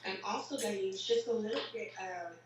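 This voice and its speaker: A woman with a slightly raspy, tired voice after a long workday, chatting casually with lots of fillers as she explains each step of a makeup tutorial. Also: medium pitch, steady tone, brisk, recorded from a distance. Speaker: makeup vlogger. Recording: roomy echo, voice set back from the mic, faint hiss.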